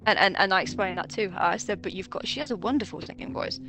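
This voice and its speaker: A woman's voice: mid-range voice, fast talker, pushed and bright, faint music behind, poor audio. Young woman rambling through stories, swears a lot, laughs nervously. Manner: chatty storytelling with frequent swearing and nervous laughs. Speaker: voice actress guest. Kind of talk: casual chat.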